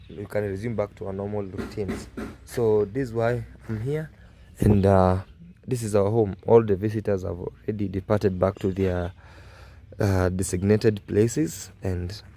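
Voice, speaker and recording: Hoarse young guy vlogging outside his home, slow and sad, lots of uhs, kinda dark voice. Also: fairly low pitch. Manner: soft-spoken and mournful, with frequent fillers. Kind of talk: casual vlog to camera. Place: outdoors at a family home.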